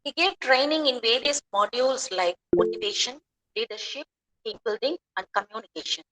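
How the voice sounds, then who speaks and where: Bright-voiced woman reading a formal introduction. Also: fairly high pitch, rough recording. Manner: formal, recited, respectful. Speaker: assistant professor introducing the chief guest. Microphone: video call.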